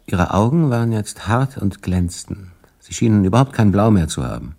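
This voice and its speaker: Literary narrator, lowish gravelly voice, scripted 1980s radio drama. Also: male voice, lively intonation, normal speed, darkish tone. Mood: sober. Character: male literary narrator of a bitter story.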